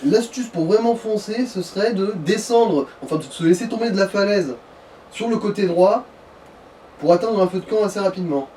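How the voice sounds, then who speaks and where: A man's voice: sits high, normal speed, dark tone. A man casually giving directions to someone playing a video game, explaining in colloquial words. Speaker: co-commentator guiding the player. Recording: slightly distant, noisy voice recording.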